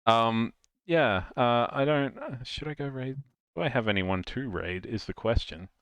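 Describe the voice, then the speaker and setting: A man's voice: mid-range voice, a little slow, a bit bright, smooth, no echo. A man hosting a casual livestream, bantering in an exasperated, sweary, joking manner. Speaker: livestream host.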